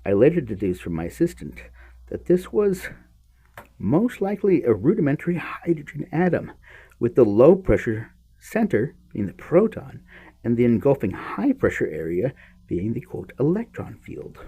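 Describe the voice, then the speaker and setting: A man reads an explanatory science text aloud in a soft-edged, husky voice. His pitch swings widely as he stresses key phrases, and he halts, repeats words and drops into offhand asides. The tone stays mellow and dark. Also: big pitch swings. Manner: emphatic, halting, with repeated words and muttered asides. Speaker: podcast host reading a book aloud.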